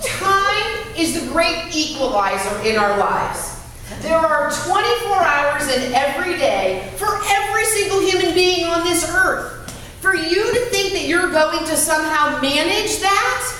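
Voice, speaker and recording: A middle-aged woman from California giving an energetic, self-deprecating motivational talk to a live audience. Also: very high-pitched, slight background noise. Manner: energetic, self-deprecating, speaks about having a loud voice. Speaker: guest speaker. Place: meeting room with small audience. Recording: echoey room, speaker far from mic.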